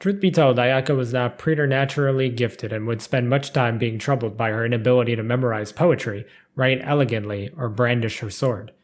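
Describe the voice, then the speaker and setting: A man's voice: medium pitch, fairly quick, hardly any pauses. A man giving a scripted narration of a video game character's backstory. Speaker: narrator reading game lore.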